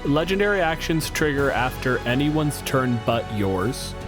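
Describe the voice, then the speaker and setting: A man acting as game master, narrating and explaining rules in a measured, matter-of-fact manner. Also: mid-range voice, big pitch swings. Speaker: game master, podcast host. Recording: music bed behind the voice.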